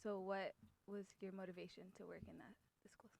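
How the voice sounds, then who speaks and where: A woman's voice: mid-range voice, little pitch movement, brisk, vocal fry, background noise. A woman hosting a podcast, asking casual questions in an informal way with lots of "like". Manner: informal, frequent "like". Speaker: podcast host.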